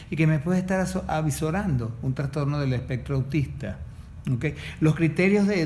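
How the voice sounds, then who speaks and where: A man's voice: fairly high pitch, darkish tone, slight background noise. A man, a course facilitator explaining in a didactic way and checking in with the listener. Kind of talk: explanatory podcast conversation.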